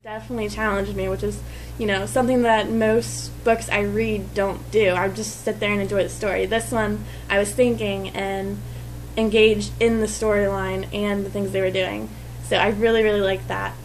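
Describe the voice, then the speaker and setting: A woman's voice: mid-range voice, little pitch movement, normal speed, a little hiss or hum, poor audio. A woman chatting casually as she reviews a book. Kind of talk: casual chat.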